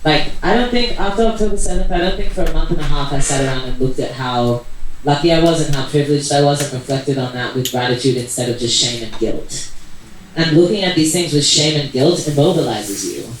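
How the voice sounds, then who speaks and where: Distant from the mic in a very reverberant venue with faint noise, a man speaks in a slightly high voice. He is a panel speaker who reflects casually, explains as he goes and checks that listeners follow. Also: brisk. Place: echoey event venue.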